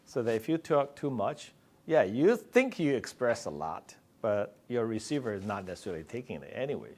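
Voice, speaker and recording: Loud-voiced man. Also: fairly low pitch, sing-song. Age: middle-aged. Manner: loud, slow-paced, joking with the audience. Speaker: psychiatrist and conference speaker. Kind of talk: conference talk.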